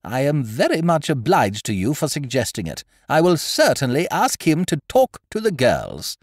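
A man voicing a brisk, decisive middle-aged schoolmistress. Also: a little high, huge pitch swings, dry recording, clean studio sound, pitched above the performer's own voice, exaggerated voice. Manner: crisp, decided delivery.

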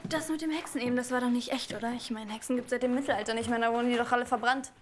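A woman's voice: fairly high pitch, fairly even intonation, very fast, slight background noise, natural voice. A young woman playing a prim, spoilt, scheming rich teenage girl in a children's audio drama. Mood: curious, sly.

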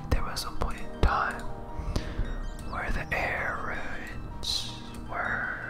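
An ASMR game host speaks in a slow whisper, close to the mic. The delivery is hesitant and absent-minded, as if thinking aloud while playing. Music plays clearly behind the voice. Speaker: gaming video host.

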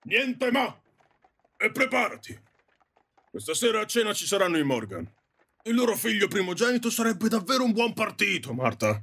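Man acting stern, gravelly, high-voiced father. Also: big pitch swings, normal speed, a bit bright, exaggerated voice. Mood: angry, domineering. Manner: scolding, domineering. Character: stern, overbearing father pushing daughter into marriage. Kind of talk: audio drama acting.

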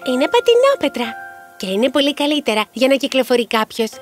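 A woman's voice: high voice, big pitch swings, faint music behind. A woman voicing a proud, inventive young girl devoted to her father in a cartoon fairy tale.

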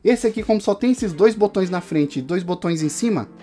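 A man's voice: high voice, animated, a little fast, mellow. São man reviewing gear, music behind. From Brazil. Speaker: tech reviewer. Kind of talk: casual product review to camera. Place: small home studio room.

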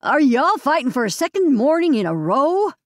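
A woman narrator voicing a gentle, motherly older woman with a slow Southern accent. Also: sits high, sing-song, no echo, clean studio sound, pitched above the performer's own voice. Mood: earnest. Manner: slow drawl.

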